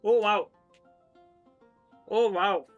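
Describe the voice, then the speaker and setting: A man's voice: sits high, fairly even intonation, drawn-out words, highs cut off, soft background music. A man with an Indian accent giving casual live gaming commentary, repeating short reactions and switching between languages. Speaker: game streamer.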